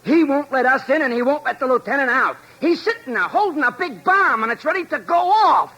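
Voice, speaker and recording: An actor playing a harried, earnest, slightly dim police sergeant in 1950s radio style, talking bluntly and ungrammatically. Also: male voice, very high-pitched, expressive, fairly quick, bright, forceful tone, soft background music, exaggerated voice. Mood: worried. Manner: blunt, ungrammatical cop talk. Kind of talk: 1950s radio detective acting. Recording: vintage radio broadcast sound.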